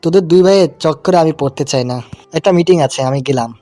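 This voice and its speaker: A man's voice: a little high, fast talker, warm tone, natural voice. A man playing a weary middle-aged businessman father in an audio story drama. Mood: defensive.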